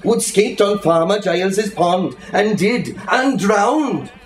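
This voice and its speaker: Guy reading a story out loud real slow and theatrical, savoring words, echoey room w music. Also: sits high. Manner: animated, theatrical, savouring the words. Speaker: reader at a bookshop reading. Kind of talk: expressive dramatic literary story reading. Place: bookshop, indoors.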